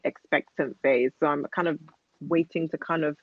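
A woman's voice: sits low, fairly even intonation, moderate pace, dry recording, narrow, tinny audio. A woman in her thirties, a podcast guest, talking candidly and rambling a little, with plenty of fillers. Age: 30s. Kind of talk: casual chat.

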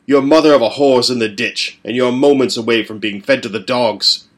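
A man's voice: mid-range voice, normal speed, lightly acted. A man voicing a brutal, sneering torturer father who growls his taunts. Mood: taunting.

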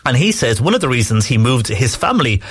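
A man's voice: medium pitch, very animated, fast, a bit bright, slightly rough. An Irish man hosting a phone-in radio show, reading out listener messages with frequent hesitations. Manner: reads messages aloud with frequent "uh" fillers and restarts. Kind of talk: call-in radio talk, reading listener texts.

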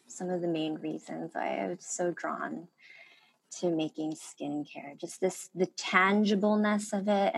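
A woman whose first language is Korean, a skincare maker speaking as a guest in an interview, soft-spoken and hesitant, with many pauses. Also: sits low, gravelly, breathy edge, low-quality recording. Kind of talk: reflective interview talk.

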